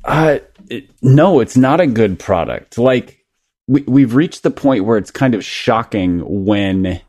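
A man's voice: mid-range voice, animated, slow pace, darkish tone. A man co-hosting a sports podcast in a studio, talking in an easygoing way that trails off into fillers. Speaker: podcast co-host. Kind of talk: casual sports chat.

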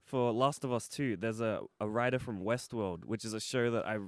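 A man's voice: on the low side, normal speed, no room sound, studio quality. A young man co-hosting a podcast, chatting casually about video games and shows. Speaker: podcast co-host.

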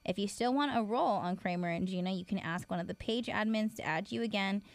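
Brief: female co-host, mid-pitched, teasing and blunt, dry close recording. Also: fast, no room sound. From Philippines heritage. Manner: teasing, blunt, mock-annoyed. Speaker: podcast co-host. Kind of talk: casual podcast chat.

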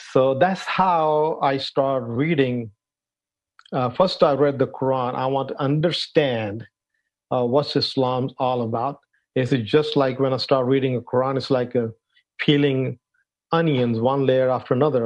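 Older man from Pakistan, halting speech. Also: mid-range voice, a little mellow. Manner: halting, many fillers, non-native phrasing. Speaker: guest. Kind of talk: telling his life story in an interview. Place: at home, in a room. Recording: dull lo-fi sound.

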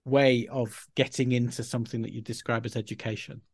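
A man's voice: medium pitch, level tone, brisk, dry recording. A middle-aged man from Yorkshire with a Yorkshire accent, chatting as a podcast guest in a rambling, self-deprecating way. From Yorkshire, England. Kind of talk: casual interview talk.

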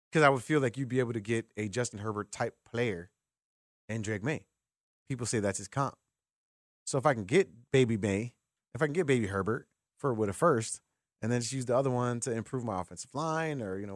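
A man's voice: a little high, no echo, studio quality. A man hosting a sports call-in radio show, talking casually and giving opinions, repeating words for emphasis. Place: radio studio. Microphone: close studio mic.

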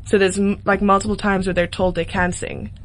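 A woman's voice: medium pitch, little pitch movement, brisk, low-quality recording. A woman in her twenties with a South African accent, a singer-songwriter chatting casually as a guest in a radio interview. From Cape Town, South Africa. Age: 20s.

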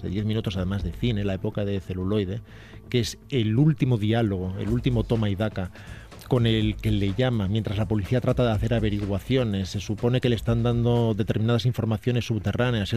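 A man, giving detailed, measured film commentary on a radio chat show. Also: lowish voice, mellow.

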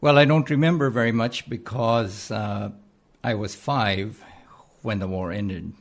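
A man in his seventies from the Philippines, with a Philippine accent, speaking haltingly as an interview guest. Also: lowish voice, gravelly. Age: 70s. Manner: hesitant, halting with many pauses. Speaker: interview guest, retired army colonel. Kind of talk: conversational interview.

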